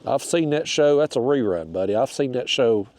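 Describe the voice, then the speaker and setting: Kayak fishing host, man, gravelly and mellow voice, sounds stuffed up. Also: mid-range voice, lively intonation, moderate pace. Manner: chatty, talks to the fish and to viewers. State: stuffed-up, nasal from a cold wind. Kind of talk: casual narration while fishing. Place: outdoors on a kayak on a river.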